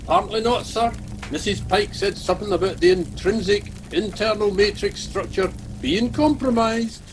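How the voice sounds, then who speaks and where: An actor playing a dour, deadpan ship's engineer in a comic radio play. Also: male voice, high voice, moderate pace, background noise, close to an everyday voice. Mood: matter-of-fact.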